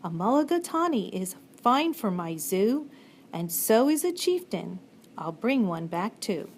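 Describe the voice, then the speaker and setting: A woman's voice: medium pitch, big pitch swings, unhurried. A woman on staff reading a rhyming storybook aloud. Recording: faint background room noise.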